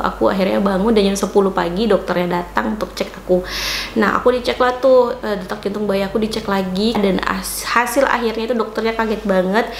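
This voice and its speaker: A young adult woman from Indonesia telling a personal story in a casual, chatty, confiding vlog style, with some emphasis. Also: medium pitch. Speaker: vlogger, mother of four. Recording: roomy echo, faint background noise.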